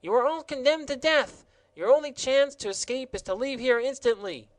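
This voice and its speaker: A man doing a high, overwrought royal gardener. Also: expressive, moderate pace, dry recording. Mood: angry. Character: weepy, fawning royal gardener, adult man. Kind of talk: audiobook character voice.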